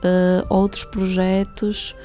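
A woman speaking as a guest on a local panel, measured and hesitant with frequent fillers. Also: medium pitch, level tone, dark tone, soft background music. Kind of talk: formal local panel talk.

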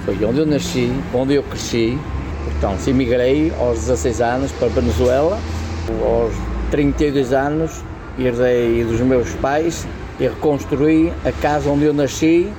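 A man, interviewed outdoors, speaking slowly in plain lists. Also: medium pitch. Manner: slow, simple listing. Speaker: interviewed local resident. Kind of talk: street interview. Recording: outdoor street noise.

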